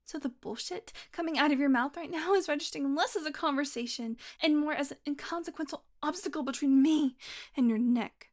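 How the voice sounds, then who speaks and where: Casting: actress as cranky, sarcastic vampire woman for audio roleplay; high register, sweary, teasing. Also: brisk, natural voice. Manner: sardonic, foul-mouthed, teasing with pet names. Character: hungry, irritable, sarcastic female vampire on a road trip. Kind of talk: audio roleplay acting, one-sided dialogue.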